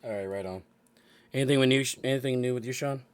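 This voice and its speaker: A man with a cold, hoarse, hosting and running a tabletop game session, halting with many fillers. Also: medium pitch, no room sound. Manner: halting, many ums and restarts. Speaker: dungeon master and show host. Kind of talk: casual announcements and chat. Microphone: new microphone.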